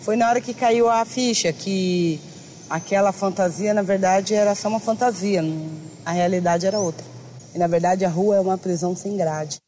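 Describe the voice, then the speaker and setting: A woman's voice: mid-range voice, normal speed, dark tone, a little hiss or hum. A woman from Brazil with a Brazilian accent, giving conversational personal testimony as an interviewee. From São Paulo, Brazil. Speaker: interviewee, writer telling her life story.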